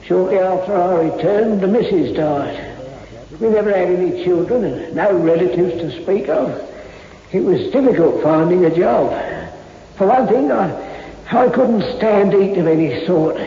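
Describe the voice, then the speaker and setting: An actor playing a lonely, eccentric working-class London morgue attendant and war veteran in a radio drama, chatty and colloquial. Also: male voice, sits high, lively intonation, a little slow. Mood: wistful. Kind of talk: 1960s radio drama acting. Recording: echoey, dull old radio recording.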